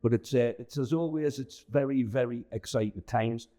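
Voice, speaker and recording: A man interviewing in a casual, somewhat halting and informal way. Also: mid-range voice, warm tone.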